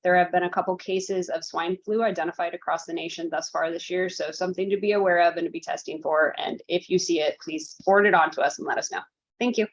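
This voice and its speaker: A woman's voice: on the low side, fairly even intonation, fast talker. A woman giving an informal update on a conference call. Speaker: public health lab presenter. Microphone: conference call connection. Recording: slightly dull conference call audio.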